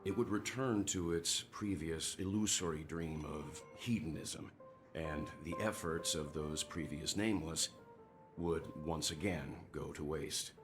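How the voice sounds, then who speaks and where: An actor playing a thoughtful, grave mentor in a video game, speaking in a measured, formal, analytical manner. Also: male voice, very deep voice. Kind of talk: voiced video game dialogue. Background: background music.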